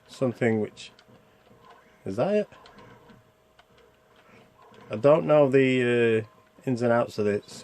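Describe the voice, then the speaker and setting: A man talks through a video game in a casual, chatty way. His mid-range voice has a noticeable creak to it. He thinks aloud as he goes, often putting questions to himself. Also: medium pitch, darkish tone. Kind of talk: casual gameplay commentary.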